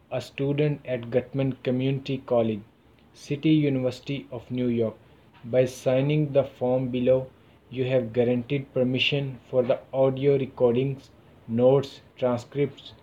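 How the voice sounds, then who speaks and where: Flat, mellow-voiced young interviewer. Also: male voice, mid-range voice, highs cut off. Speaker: student interviewer. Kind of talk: reading a consent form and asking questions.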